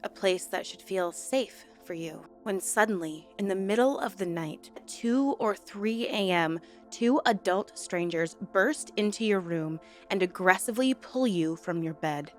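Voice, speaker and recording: A woman's voice: medium pitch, expressive, unhurried, few pauses, faint music behind. A woman hosting a true-crime commentary vlog, stuffed up and nasal from a cold, talking in an earnest, conversational way. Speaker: YouTube host.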